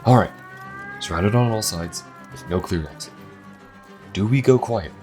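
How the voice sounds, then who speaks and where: A man's voice: fairly low pitch, moderate pace, music in the background, lightly acted. A man playing an anxious, exasperated adventurer on the run in an audio drama, flustered and given to muttering. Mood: alarmed. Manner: flustered, muttering to himself, bursting out in alarm.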